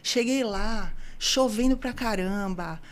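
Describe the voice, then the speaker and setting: A woman in her fifties from São Paulo, a guest on an interview podcast, telling her story in a lively way and acting out the dialogue she quotes. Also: mid-range voice, slow pace. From São Paulo, Brazil. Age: 50s. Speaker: guest, open-water ultramarathon swimmer.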